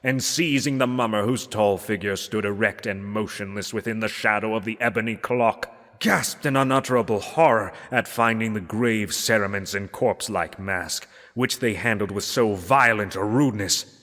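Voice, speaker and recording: A man reading a gothic story aloud as narrator, in a solemn, measured and theatrical manner. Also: on the low side, fairly bright tone. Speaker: narrator, reader. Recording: long hall-like reverb.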